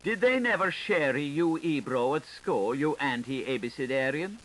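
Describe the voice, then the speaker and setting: A man playing a knowing, sharp-tongued washerwoman, telling gossip in a lilting, dramatic audiobook style. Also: fairly high pitch, lively intonation, normal speed, low-quality recording. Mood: scornful. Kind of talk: dramatic literary audiobook reading. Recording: hissy 1985 tape recording.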